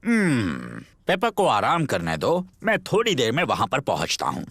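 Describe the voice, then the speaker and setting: A man's voice: mid-range voice, wildly swooping pitch, normal speed, fairly bright tone, dry recording, full character voice. A man voicing a friendly, reassuring bear doctor in a children's cartoon dub. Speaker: cartoon voice actor.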